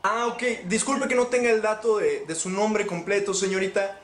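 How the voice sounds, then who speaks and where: High-voiced young man in a noisy, echoey room. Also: normal speed. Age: young adult. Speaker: prank caller. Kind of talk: polite, hesitant phone pitch. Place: living room sofa. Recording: echoey room, clearly noisy replayed video.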